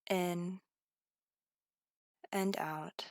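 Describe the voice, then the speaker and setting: A woman guiding a scripted meditation in a calm, soothing, deliberate manner. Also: on the low side, no room sound, clean studio sound. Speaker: meditation guide.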